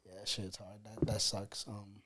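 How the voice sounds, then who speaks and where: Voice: low voice, little pitch movement, measured pace, fairly bright tone. A podcast co-host chatting in a laid-back way, trailing off and swearing casually. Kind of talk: casual chat.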